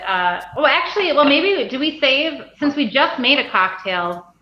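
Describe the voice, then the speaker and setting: Chatty woman in her 40s, higher voice, roomy echo, kinda dull sound. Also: very animated, normal speed. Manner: chatty, rambling, laughs easily. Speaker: guest distiller. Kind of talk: casual livestream chat. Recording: echoey, dull, faint clicks.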